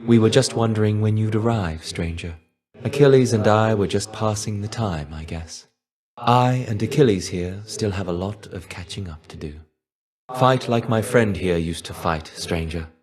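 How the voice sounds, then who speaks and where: An actor playing the shade of a fallen Greek warrior in a video game, wry, reserved and melancholy, with a measured, understated delivery. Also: male voice, lowish voice, lightly acted. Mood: wry, welcoming. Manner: measured, understated, wistful, trailing off at phrase ends. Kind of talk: video game character voice lines.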